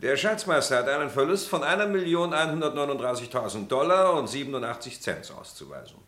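An actor playing a brisk, obliging officer of an opera board in a German radio play. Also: male voice, mid-range voice, very animated, natural voice. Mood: brisk, formal. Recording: very noisy, clicky, roomy old recording.

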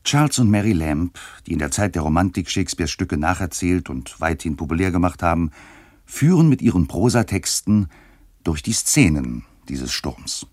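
Deep-voiced male radio narrator. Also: big pitch swings, darkish tone, no room sound, studio quality. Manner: measured, articulate. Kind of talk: scripted radio play narration, storytelling. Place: studio, dry sound.

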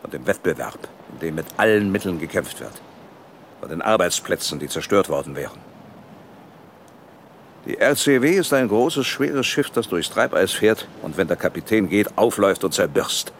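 An actor playing a ruthless, defiant older company boss in a German radio drama, arguing forcefully and with contempt. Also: male voice, low voice, expressive, moderate pace, a little hiss or hum. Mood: defiant, cold. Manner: forceful, rhetorical, self-justifying.

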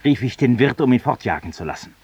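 An actor playing a cynical, self-accusing former lawyer in a 1950s German radio drama monologue, theatrical and ironic, husky, confiding in a listener. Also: male voice, medium pitch, lively intonation, quick pace, darkish tone. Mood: defiant, scornful. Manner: theatrical, ironic, confiding, dropping to near whispers. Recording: muffled, roomy 1950s radio recording.

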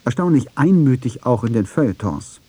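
A man's voice: mid-range voice, expressive, moderate pace, warm tone, breathy edge, dry recording. A man narrating a scripted radio feature in a pointed, critical, argumentative way.

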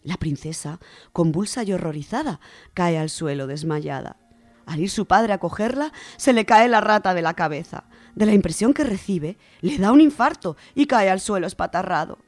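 Expressive Spanish woman storyteller, lowish voice. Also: no room sound. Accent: Spanish from Spain. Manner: expressive, dramatic when reading. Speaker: radio storytelling contributor. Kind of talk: children's book reviews and expressive story reading. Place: radio studio.

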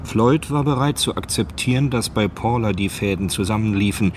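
A man's voice: fairly low pitch, moderate pace, a little hiss or hum. A man narrating a radio drama in a scripted, literary storytelling style. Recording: music behind the voice. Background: music.

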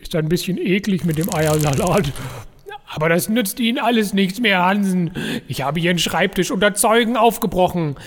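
A man's voice: high voice, normal speed. Man acting a fussy, lovesick office schemer. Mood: officious. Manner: officious, pleading, melodramatic. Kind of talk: comic audio drama acting.

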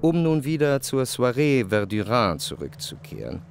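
An actor reading scripted literary narration as the narrator of a radio play. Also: male voice, mid-range voice, very animated, moderate pace, creaky, over loud music. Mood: measured.

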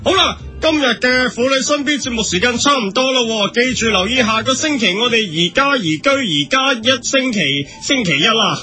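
A man puts on an eager daytime women's show host for a comic radio play. The voice is extremely high and bright, gushing in a Hong Kong Cantonese accent. Faint music sits behind it. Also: lively intonation, normal speed, few pauses, heavily acted. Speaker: programme host.

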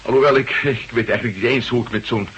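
An actor playing a bewildered, hesitant husband with lost memory, stammering and gruffly polite in a Dutch radio drama. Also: male voice, mid-range voice, fairly even intonation, fast talker, fairly bright tone, dull audio. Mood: uncertain. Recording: faintly hissy old radio recording.

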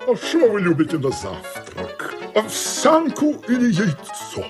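Актёр, играющий в радиоспектакле любопытного, робко влюблённого старпома с сиплым скрипучим голосом. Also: male voice, high-pitched, wildly swooping pitch, takes it slowly, over music, exaggerated voice. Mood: smitten. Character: curious, bashful, smitten ship's first mate. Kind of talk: comic radio play acting.

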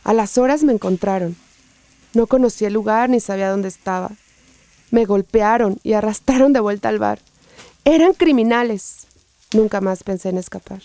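An actress playing a gentle, resilient female survivor, speaking earnestly and confidingly in a radio drama. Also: mid-range voice, normal speed, no echo, close to an everyday voice. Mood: somber, recalling.

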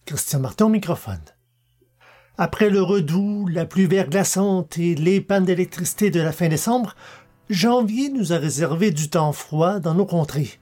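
A man's voice: on the high side, darkish tone. A man hosting a podcast and reading stories aloud in scripted narration. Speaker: podcast host and audiobook reader.

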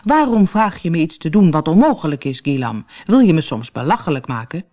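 A storyteller voicing a proud, stubborn chief and protective father in a fairy tale, commanding and quick to take offence. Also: mid-range voice, very animated, mellow, close to an everyday voice. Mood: indignant.

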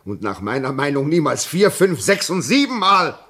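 An actor in a 1950s German radio play voicing a haunted, earnest railway signalman with a husky, halting, brooding delivery. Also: sing-song, a bit bright, heavily acted. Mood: agitated, urgent. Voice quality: husky, very deep. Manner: halting, brooding, repeats words for emphasis. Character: haunted railway signalman, dread-ridden, earnest male storyteller.

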